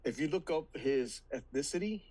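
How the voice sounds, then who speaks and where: A man's voice: a little high, animated, normal speed, a little mellow. A man co-hosting a podcast, chatting casually about movies and laughing often. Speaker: podcast co-host.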